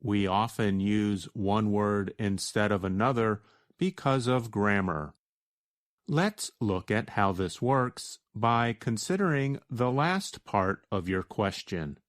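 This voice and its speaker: An American man with a fairly low voice teaches from a script. He speaks very slowly and deliberately, explaining each point in a measured way. The sound is dry, with hardly any room. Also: animated, no room sound. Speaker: teacher answering a listener's question. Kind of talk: slow scripted educational narration.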